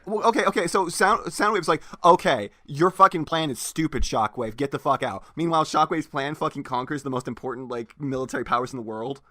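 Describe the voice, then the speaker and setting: A man giving casual, joking co-host commentary in an excitable, incredulous, sweary way. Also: on the high side, a little fast, hardly any pauses. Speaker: co-host commenting on a story reading.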